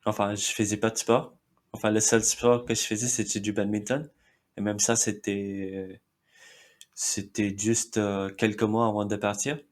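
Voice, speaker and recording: A man's voice: on the low side, little pitch movement, unhurried. A man in his thirties from India, whose first language is Marathi, chatting as a podcast guest with many fillers and short laughs. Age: 30s. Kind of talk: casual interview chat.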